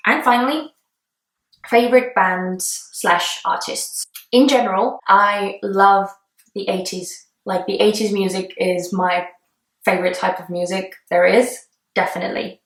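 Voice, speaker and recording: A young woman from Estonia, a native Estonian speaker, talks through a casual question-and-answer vlog. Her voice sits at an ordinary middle pitch, and she gushes about her favourites with many fillers and sentences that trail off. The sound carries a noticeable echo from the room. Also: fairly even intonation, a little slow. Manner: chatty, gushing, many fillers and trailing clauses. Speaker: beauty vlogger. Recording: echoey room.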